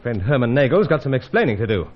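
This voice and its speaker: An actor playing a suave, unflappable British secret agent in an old-time radio adventure serial, breezy and gallant, with old-fashioned exclamations. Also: male voice, mid-range voice, big pitch swings, fast, over loud music, lightly acted. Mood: brisk. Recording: dull, lo-fi radio serial recording.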